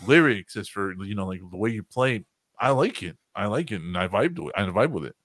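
A man with a deep voice hosting a casual streamed show, rambling with fillers and restarts as he gives feedback. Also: animated, fairly quick, fairly bright tone, no echo. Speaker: podcast host reviewing a song. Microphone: streaming mic with heavy bass cut.

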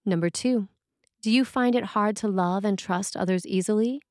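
A woman reading a scripted sermon in a measured, reflective way. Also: medium pitch, darkish tone, no room sound. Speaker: sermon reader.

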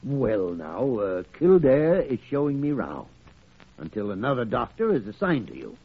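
An actor playing a gruff, stubborn old senior doctor in a 1940s radio drama, blunt and grumbling, with a raspy voice. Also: male voice, mid-range voice, sing-song, moderate pace. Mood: brisk, bluffing. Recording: tinny, narrow old radio recording.

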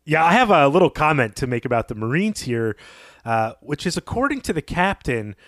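A man's voice: fairly high pitch, big pitch swings, a little fast, a bit bright, dry recording, clean studio sound. A man co-hosting a podcast, giving reflective, analytical commentary on a story in conversational speech. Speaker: podcast co-host.

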